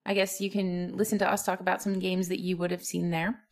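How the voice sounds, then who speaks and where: A woman co-hosting a casual podcast, friendly and quick to laugh. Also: lowish voice, little pitch movement, creaky. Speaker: podcast co-host. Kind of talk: casual chat.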